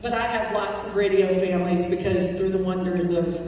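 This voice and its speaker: A woman radio host speaks at a podium, heartfelt with wry asides as she thanks people. Her voice sits at an ordinary pitch and is heard from far off through the audience. A long, booming reverb fills the big banquet hall, with faint room ambience beneath. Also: steady tone, a little fast, warm tone. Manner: heartfelt, with wry jokes and lists. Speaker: radio host accepting an award. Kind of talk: acceptance speech. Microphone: podium microphone, recorded from the audience. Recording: echoey hall recorded from far off.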